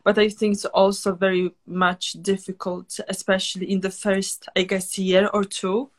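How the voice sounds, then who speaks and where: A woman from Poland, a university teacher hosting an interview in measured, slightly formal phrasing. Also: medium pitch, flat delivery. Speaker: podcast host, university teacher. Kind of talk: interview conversation.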